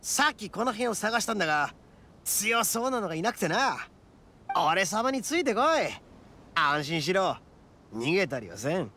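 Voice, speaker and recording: Casting: boastful young oni, anime game. High-pitched, very animated, rough and cocky. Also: male voice, normal speed, slight background noise, full character voice. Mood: earnest, confident. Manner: cocky, rough slangy speech. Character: brash, boastful young oni man, hot-headed but soft-hearted.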